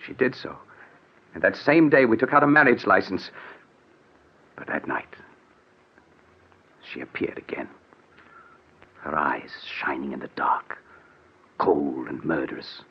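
An actor playing a haunted, frightened young bridegroom in a 1940s radio drama, halting and melodramatic. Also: male voice, a bass voice, a little hiss or hum. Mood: brooding dread. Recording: dull, lo-fi old radio recording.

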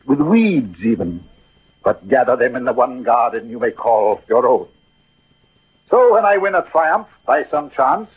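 A proud and witty swordsman who is also a lovesick poet. His speech is declamatory and eloquent in classic radio drama style. The voice is very rough and husky, heard through a narrow, phone-like vintage recording. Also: mid-range voice. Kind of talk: 1940s-50s theatrical radio drama acting.

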